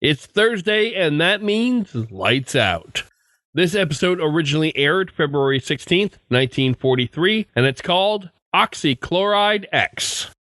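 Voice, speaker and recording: A man's voice: on the high side, animated, a little slow, sharp tone, dry recording. A man hosting a podcast, reading a scripted introduction into a close microphone. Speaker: podcast host.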